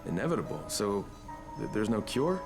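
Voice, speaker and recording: A man's voice: lowish voice, big pitch swings, moderate pace, over music. A man playing a plain-spoken action-hero newcomer in a video game, casual and full of questions. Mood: uneasy, questioning. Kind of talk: video game dialogue acting.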